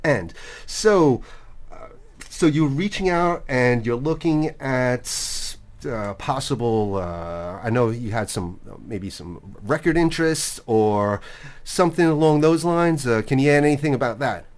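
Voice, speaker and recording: A man hosting a casual radio interview, chatty and halting, with lots of fillers. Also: mid-range voice, lively intonation. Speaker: radio host. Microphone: radio broadcast mic.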